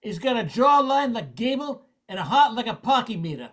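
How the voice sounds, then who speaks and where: A hard-boiled radio detective, acted by an older man. He has a Brooklyn accent and a gruff, cynical manner. His pitch moves widely as he narrates his weary observations. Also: sing-song, moderate pace, fairly bright tone, full character voice. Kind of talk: radio play acting.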